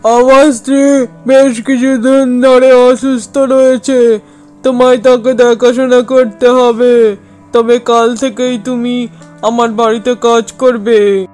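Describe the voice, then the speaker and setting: A stern middle-aged landowner from a cartoon folk tale, acted by a man, speaks with slow authority. The voice is strikingly high yet smooth and clear, with music underneath. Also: little pitch movement, a little mellow. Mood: businesslike. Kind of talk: cartoon fairy-tale acting.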